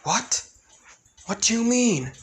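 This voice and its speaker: A man's voice: high voice, sing-song, takes it slowly, voice pushed up, exaggerated voice. A man voicing a frightened young woman fighter in a raised, strained, urgent voice. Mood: confused.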